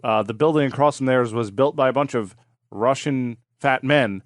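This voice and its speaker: A man with an everyday mid-pitched voice trades blunt, profane jokes on a comedy podcast. He riffs loosely with the other hosts. The recording is dry and close. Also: moderate pace, no room sound. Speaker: podcast co-host. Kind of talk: casual comedic podcast banter.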